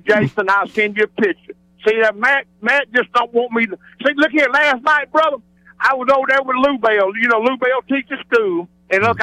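A man's voice: extremely high pitch, pushed and bright, no echo. A man with a Southern US accent phoning a radio show, rambling in an exuberant, folksy way. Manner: exuberant, digressive, folksy showman. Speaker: regular radio phone-in caller. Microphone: telephone. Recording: radio broadcast phone call.

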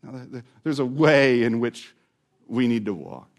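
A male pastor preaching a sermon, dropping to a near whisper and then rising again, stretching out key words. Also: medium pitch. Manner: dramatic swings from loud to hushed, drawn-out words.

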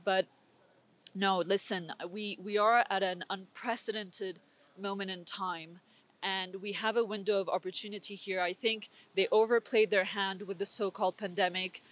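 A woman's voice: mid-range voice, steady tone, moderate pace, fairly bright tone. A young woman from Switzerland, speaking as an interview guest with intense, urgent political commentary and frequent restarts. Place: conference venue.

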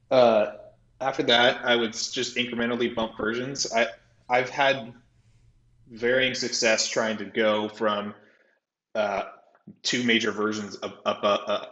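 A man talking casually as a technical podcast guest, halting and often correcting himself as he explains. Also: fairly bright tone. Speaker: podcast guest, software developer. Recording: roomy, slightly dull low-fidelity audio.

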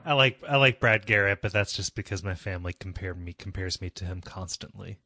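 A man with a deep voice, co-hosting a casual podcast chat, laughing often and retelling scenes with acted-out quotes. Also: steady tone, fairly quick, bright, forceful tone, slight background noise. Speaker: podcast co-host.

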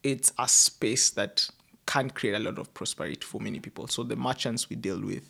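A man's voice: medium pitch, normal speed, vocal fry, pushed and bright. A man from near Nairobi with a Kenyan accent, telling stories casually as an interview guest in informal, slangy speech. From outskirts of Nairobi, Kenya. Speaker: interview guest, startup founder. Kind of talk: casual conversational storytelling.

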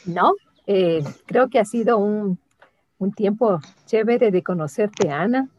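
A woman's voice: medium pitch, slow pace, no room sound, dull audio. Woman co-host, dry close sound. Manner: warm, encouraging. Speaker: co-host interviewer. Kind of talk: casual interview chat.

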